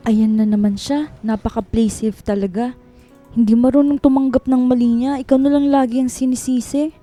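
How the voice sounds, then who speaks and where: Woman acting a very mellow, protective office friend. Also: high-pitched, steady tone, fairly quick, faint music behind, natural voice. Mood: indignant. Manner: consoling, indignant on friend's behalf. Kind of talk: radio drama acting.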